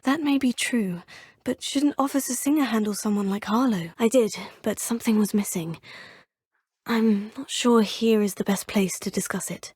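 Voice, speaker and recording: Woman voicing a breathy, polite schoolgirl witch. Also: medium pitch, darkish tone, dry recording, studio quality, lightly acted. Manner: polite and formal, often murmuring to herself. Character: earnest, polite teenage girl witch student. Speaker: player character. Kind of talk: game voice lines.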